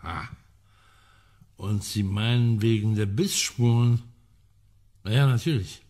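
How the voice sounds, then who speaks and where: A man acting in a German radio crime drama as a gruff, sardonic colonel who muses aloud emphatically. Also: lowish voice, takes it slowly, darkish tone. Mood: musing, excited. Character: gruff, sardonic male colonel in an amateur sleuthing trio.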